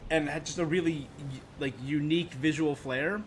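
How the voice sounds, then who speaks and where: A man's voice: a little high, fairly even intonation, normal speed, a little hiss or hum. A man streaming a game, chatting casually and thinking aloud in a rambling, opinionated way. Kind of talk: casual livestream gaming chat. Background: game music.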